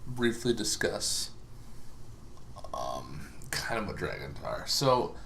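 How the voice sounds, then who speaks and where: A man giving a casual, unscripted explainer as a host, halting and rambling with long pauses, over some background noise. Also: on the low side, very animated, creaky, fairly bright tone. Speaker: host of a tabletop gaming lore series.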